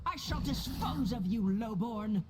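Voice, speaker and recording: A woman's voice: on the high side, expressive, a little slow, heavy background noise. A woman playing a proud, formal elf noble in a fantasy video game. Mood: menacing.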